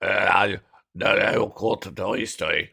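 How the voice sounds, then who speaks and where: A man's voice: sits low, slow pace, gravelly, slightly rough. A middle-aged man co-hosting a casual podcast, laughing often and restarting his sentences. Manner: animated, laughs often, talks through restarts. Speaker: podcast co-host. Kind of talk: casual chat.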